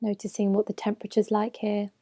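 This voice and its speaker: Soothingly mellow woman guiding meditation. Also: medium pitch, completely flat delivery, no room sound, studio quality. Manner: gentle, measured, unhurried instruction with soft phrase endings. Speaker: mindfulness meditation teacher. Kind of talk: slow guided meditation narration.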